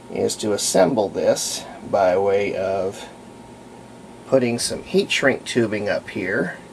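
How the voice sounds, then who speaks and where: A man with a gravelly, creaky voice explains a hobby repair in casual tutorial talk, pausing mid-sentence as he demonstrates. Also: lowish voice, a little hiss or hum.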